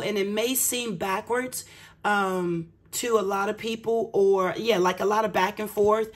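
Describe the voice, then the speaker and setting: Chatty woman with slightly raspy voice. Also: medium pitch. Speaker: budgeting vlogger. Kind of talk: casual explanatory chat to viewers.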